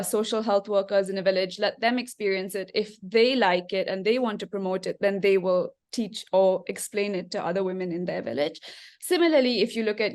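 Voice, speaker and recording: A woman's voice: mid-range voice, a little mellow. A woman from India speaking as a panelist, explaining her points in an articulate, structured way with examples. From Bangalore, India. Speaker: panelist, femtech founder.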